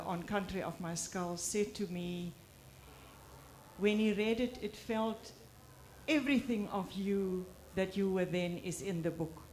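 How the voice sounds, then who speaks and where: Slow-speaking South African woman author. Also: medium pitch, a little hiss or hum. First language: Afrikaans. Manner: pauses often, halting with fillers. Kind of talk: reflective talk at a book presentation.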